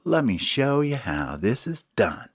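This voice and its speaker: A man voicing a calm, capable male critter who speaks softly and a bit smugly. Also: mid-range voice, a little slow, voice pushed up.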